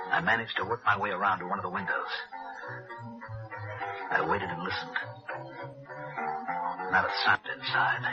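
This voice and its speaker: A man's voice: on the low side, lightly acted. An American man playing a cool, guarded hard-boiled insurance investigator in 1960s radio noir style. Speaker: narrator and lead actor. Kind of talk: 1960s radio noir acting, first-person narration. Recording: dull old radio recording with music.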